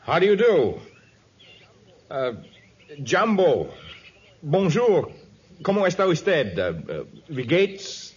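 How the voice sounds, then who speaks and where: An actor playing a righteous, stern and fearless jungle hero in a 1950s radio drama, formal and deliberate in delivery. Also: male voice, on the high side, very animated, slightly rough, exaggerated voice. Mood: alert. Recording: dull vintage 1950s radio recording.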